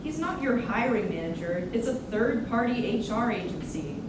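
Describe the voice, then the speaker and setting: A woman's voice: a little high, expressive, normal speed, noisy background, lightly acted. A young woman playing a rebellious, sardonic mall employee in a staged musical reading, partly sung. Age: young adult. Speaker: actor and singer in a musical reading. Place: echoey indoor room, far from mic. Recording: echoey room, distant mic, piano music.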